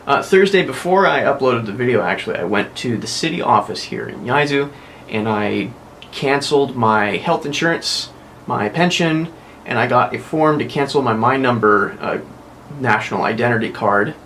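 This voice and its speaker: A man's voice: medium pitch, lively intonation, moderate pace, a bit bright, slight background noise. A young American man hosting a casual weekly vlog, chatting and rambling with frequent self-corrections. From United States. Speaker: vlog host.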